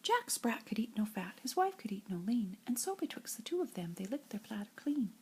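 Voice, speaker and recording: An older woman with a breathy, husky voice reads nursery rhymes like a grandmother, in an expressive singsong storytelling way. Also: on the high side, fairly quick. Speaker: grandmother reading to children.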